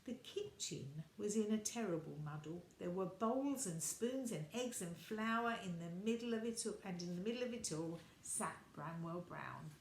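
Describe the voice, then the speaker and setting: A woman reading a picture book expressively to children, animated and adding little asides for her young listeners. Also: on the low side, moderate pace, hardly any pauses. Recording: echoey room with background noise.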